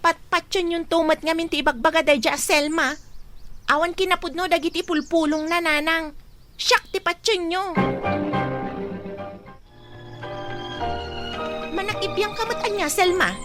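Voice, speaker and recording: A woman's voice: very high voice, fairly even intonation, moderate pace, sharp tone. A woman playing a defiant, irritable young female student in an Ilocano radio drama. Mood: indignant. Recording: radio drama with music bed.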